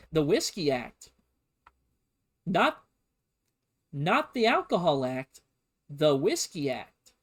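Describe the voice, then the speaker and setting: A man streaming a casual, comedic history lesson, full of sarcastic and playful asides. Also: fairly high pitch. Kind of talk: casual, comedic history lecture to a livestream audience.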